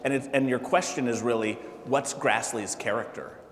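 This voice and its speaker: A man speaking as a political candidate at a town hall, halting and full of fillers and restarts. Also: medium pitch, fairly even intonation. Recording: echoey hall with faint noise.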